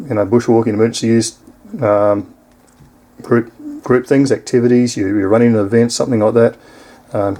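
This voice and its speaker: A man's voice: lowish voice, dark tone. A man with an Australian accent giving a casual, unscripted product demonstration in an easygoing, explanatory way. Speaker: product reviewer.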